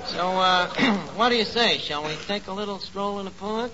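An actor playing a brash, lovestruck, uncultured tavern manager in a 1940s radio comedy, wheedling and mock-suave. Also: male voice, high voice, moderate pace, close to an everyday voice. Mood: wooing, cocky. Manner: wheedling, mangles fancy words, mock-suave. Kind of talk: 1940s radio sitcom acting. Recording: noisy muffled 1940s radio broadcast.

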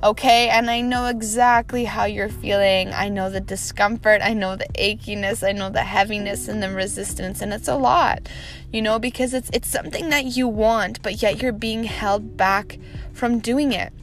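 A woman's voice: medium pitch, fairly even intonation, normal speed, soft background music. A woman hosting her own motivational podcast, upbeat and encouraging, laughing often, a little snuffly from a cold. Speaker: podcast host. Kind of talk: motivational solo podcast talk.

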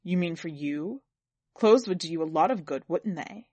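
A woman reading the part of a sour, belittling husband in a story, gloomy and complaining, quick to turn brutal. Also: lowish voice, lively intonation, darkish tone, breathy edge, no echo. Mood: scornful. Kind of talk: dramatic audiobook character reading.

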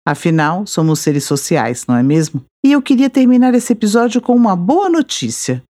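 A Brazilian woman hosting a podcast, reading a measured, reflective scripted narration. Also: on the low side, very animated, mellow. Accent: Brazilian Portuguese. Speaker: podcast host.

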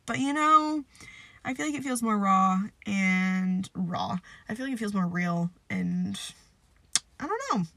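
A woman's voice: mid-range voice, animated, unhurried, a bit bright, no room sound. Stuffy-nosed young woman rambling on a podcast. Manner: rambling, filler-heavy, self-deprecating. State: stuffed-up nose, nasal. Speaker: podcast host. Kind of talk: casual solo podcast chat.

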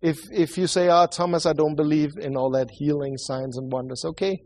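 A man with a Swedish accent delivers a sermon in a voice pitched a little higher than most men's. He speaks earnestly and haltingly, often restarting and repeating his words as he preaches and prays. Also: flat delivery, darkish tone. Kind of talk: sermon with prayer.

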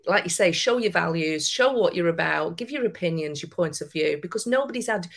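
A woman's voice: on the low side, animated, brisk, gravelly. A woman with a broad Lancashire accent, a podcast guest giving encouraging, emphatic advice. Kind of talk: podcast interview.

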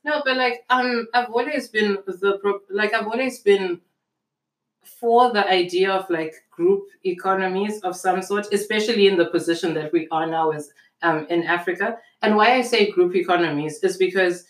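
A woman's voice: mid-range voice, moderate pace, reverberant, rough recording. A young woman co-hosting a casual discussion, thinking aloud with many restarts and fillers. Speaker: podcast co-host.

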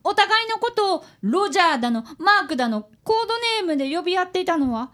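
A woman's voice: very high-pitched, very animated, quick pace, fairly bright tone, full character voice. A woman voicing a polite, earnest fifth-grade boy who is a detective's apprentice in a radio drama. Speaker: narrator and child detective. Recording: radio studio.

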